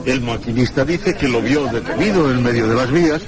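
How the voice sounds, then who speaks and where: An actor playing an earnest train conductor in a radio drama, explaining emphatically. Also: male voice, mid-range voice, a little fast, over a noisy crowd, natural voice. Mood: earnest, baffled. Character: earnest male train conductor reporting a mystery. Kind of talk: Spanish radio drama acting.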